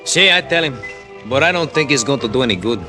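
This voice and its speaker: A man's voice: medium pitch, animated, quick pace, bright, forceful tone, faint music behind. A man acting a callous gangster henchman in an old radio crime drama, taunting and threatening in clipped tough-guy talk. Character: menacing, callous male gangster henchman holding a gun.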